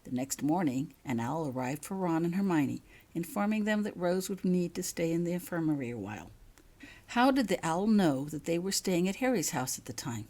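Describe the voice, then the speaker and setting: A woman's voice: lowish voice, lively intonation, normal speed, darkish tone. A woman reading her own written story aloud as scripted audiobook narration.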